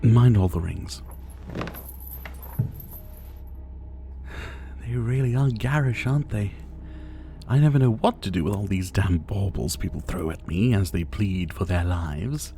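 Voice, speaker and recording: A man's voice: on the low side, moderate pace, mellow, slight background noise, natural voice. A man acting a flirtatious, possessive dictator in an ASMR roleplay, with a theatrical, teasing, menacing delivery. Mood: seductive, teasing.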